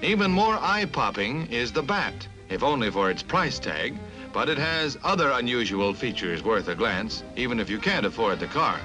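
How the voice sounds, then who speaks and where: A man's voice: mid-range voice, very animated, normal speed, over music, rough recording. A man with an American accent reading scripted newsreel narration in a dramatic announcer style, on a vintage 1950s soundtrack.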